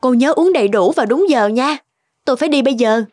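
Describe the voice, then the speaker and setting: High-voiced glamorous actress wife. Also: female voice, animated, fairly quick, a little mellow. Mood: breezy, businesslike. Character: glamorous, self-absorbed, persuasive actress wife. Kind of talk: Vietnamese cartoon voice acting. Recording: music behind the voice.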